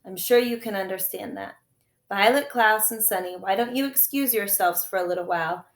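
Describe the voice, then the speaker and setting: A woman's voice: medium pitch, normal speed, reverberant, lightly acted. A woman voicing a fussy, reasonable male guardian in a storybook, polite, questioning and firm. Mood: gently firm.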